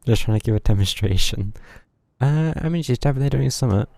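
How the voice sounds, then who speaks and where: Mellow-voiced man streaming, wry. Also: medium pitch, animated, fast, creaky, no room sound. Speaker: game streamer. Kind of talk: casual live gameplay commentary. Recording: game music behind voice.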